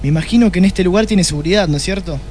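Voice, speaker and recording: Male actor as impatient detective, Argentine accent, highish pitch, curt, deadpan, comic radio drama. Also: racing, a little hiss or hum, lightly acted. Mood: probing. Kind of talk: comic radio play acting.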